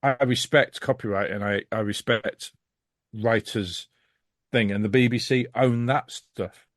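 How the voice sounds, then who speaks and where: A man's voice: lowish voice, fairly even intonation, a little slow, dry recording, clean studio sound. A man speaking as a podcast guest in casual conversation, blunt, opinionated and sweary.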